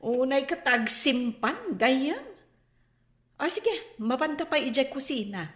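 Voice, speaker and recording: An actress playing a well-off, hospitable but careful friend, with a Filipino Ilocano accent, in a radio comedy-drama. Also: female voice, high-pitched, animated, slow pace, echoey room. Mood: welcoming.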